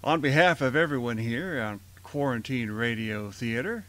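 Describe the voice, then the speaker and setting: A man's voice: medium pitch, animated, normal speed, fairly bright tone. A man hosting and announcing a radio theater outro, addressing listeners directly in a friendly, scripted way. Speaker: host and announcer.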